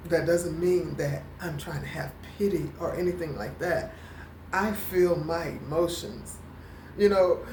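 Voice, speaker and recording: A woman vlogger who talks slowly and haltingly, with a stutter and many fillers, and gets emotional at times. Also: on the low side, a little mellow, echoey room, a little hiss or hum. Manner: emotional, near tears at times. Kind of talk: personal talking-head vlog.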